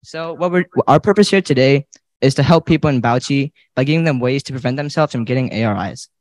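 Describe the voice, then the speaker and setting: A man's voice: medium pitch, fast talker, darkish tone, dry recording. A teenage boy from the Chicago suburbs reading his part of a student health presentation, plain and a little hesitant. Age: teens.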